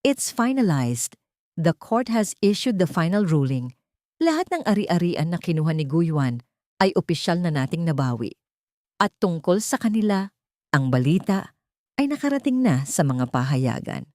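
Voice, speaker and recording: Gentle female secretary, low voice read by a woman. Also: big pitch swings, normal speed, dry recording, clean studio sound. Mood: calm reporting. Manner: soft-spoken, respectful. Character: Sofia, gentle dutiful female secretary reporting to her boss. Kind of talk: audio story dialogue.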